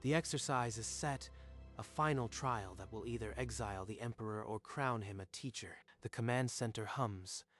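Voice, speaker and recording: A man's voice: medium pitch, moderate pace, a bit bright. A man giving scripted, dramatic narration for a manga dub. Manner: dramatic storytelling. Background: faint music.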